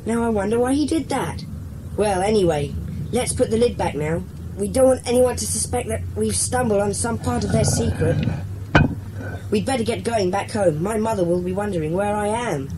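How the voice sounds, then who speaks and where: A woman playing a bossy, sensible schoolboy leader in a children's audio drama, speaking in a brisk, decisive way. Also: medium pitch, background noise, close to an everyday voice. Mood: thoughtful.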